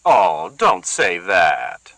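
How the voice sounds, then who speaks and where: A man voicing a loud, sarcastic woman who needles everyone with sour jabs. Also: big pitch swings, brisk, no echo. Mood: sneering. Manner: shrill, jabbing, sour sarcasm.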